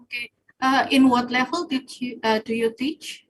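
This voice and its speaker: A woman's voice: a little high, little pitch movement, moderate pace, slight background noise. A woman hosting a webinar over a video call, mostly giving brief acknowledgements and asking short follow-up questions. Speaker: webinar host. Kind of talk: interviewing in a webinar.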